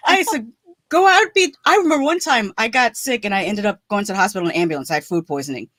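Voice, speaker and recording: A woman's voice: medium pitch, lively intonation, fast, a bit bright, no echo. A woman in her forties, a movie reviewer chatting on a livestream, telling stories and laughing often. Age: 40s. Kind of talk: casual livestream chat.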